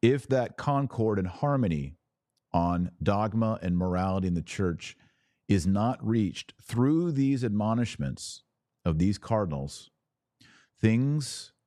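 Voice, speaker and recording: A man hosting a religious commentary podcast, reading passages aloud and speaking in deliberate, emphatic phrases with rhetorical questions. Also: fairly low pitch, dark tone, no room sound, studio quality. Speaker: podcast host and author.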